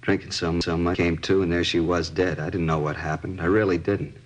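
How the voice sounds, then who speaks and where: A man's voice: deep voice, fairly even intonation, a little fast. An actor playing an anxious, hesitant American married man under police questioning in a 1950s radio crime drama. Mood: shaken, relieved. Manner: hesitant, rambling, stammering pleas.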